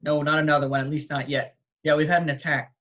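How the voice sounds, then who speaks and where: A man playing a wisecracking, gruff police detective in a horror script read at home. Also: on the high side, little pitch movement, fast talker, fairly bright tone, close to an everyday voice. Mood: businesslike. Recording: dull home video-call audio.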